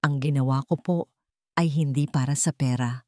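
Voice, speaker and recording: Woman voicing a soft-spoken, humble male janitor. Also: extremely low pitch, steady tone, moderate pace, no echo, clean studio sound. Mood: quietly firm. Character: humble, timid male hospital janitor with a secret past.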